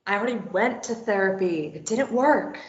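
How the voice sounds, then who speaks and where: A woman's voice: mid-range voice, lively intonation, moderate pace, lightly acted. A woman plays a sarcastic, self-deprecating woman who is desperate to get away. She brushes people off with dry, dismissive lines in a stage play read-through. The recording is dull and has noticeable room echo. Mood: bitter, resigned. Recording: dull, roomy, echoey recording.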